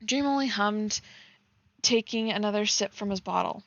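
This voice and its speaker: A woman's voice: mid-range voice, normal speed, a bit bright, no echo, highs cut off. A giggly woman casually reading fan fiction aloud and breaking off to comment on it as she streams.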